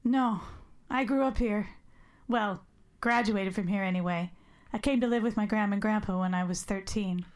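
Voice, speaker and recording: A woman's voice: mid-range voice, normal speed, lightly acted. An actress playing a wounded, guarded woman with a terse, dry, sarcastic manner in a naturalistic audio drama. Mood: guarded, uneasy. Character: guarded, wounded, sardonic woman rebuilding her life. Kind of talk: naturalistic radio drama acting.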